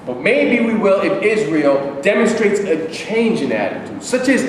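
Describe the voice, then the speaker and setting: A man's voice: sits high, big pitch swings, moderate pace, crowd noise. A man playing a stubborn, defiant older Palestinian in a staged play reading, combative and defensive in his delivery. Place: live staged reading in a reverberant room. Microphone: distant microphone. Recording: echoey hall from far off, noisy.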